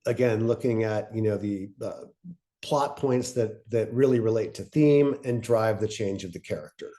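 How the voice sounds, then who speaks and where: Guy with a soft mellow voice explaining stuff, kinda halting, thinking out loud. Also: on the low side. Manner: halting, thinking aloud with broken-up phrases. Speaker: co-presenter of a fiction podcast pitching webinar. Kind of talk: informal instructional talk.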